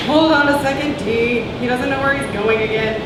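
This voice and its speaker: A woman's voice: a little high, a little slow, lightly acted. A woman playing a chatty, trendy, self-absorbed young frontier girl in a comedy sketch, gushing in an exclamatory valley-girl way. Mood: dismissive. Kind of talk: comedy sketch staged reading. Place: small theater stage. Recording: echoey, noisy theater from far off.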